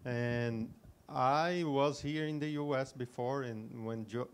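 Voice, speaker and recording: A man's voice: medium pitch, slow, clean tone. A man from Brazil with a Brazilian Portuguese accent, speaking as a panelist in a friendly, easy manner. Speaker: panelist, company representative. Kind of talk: panel discussion.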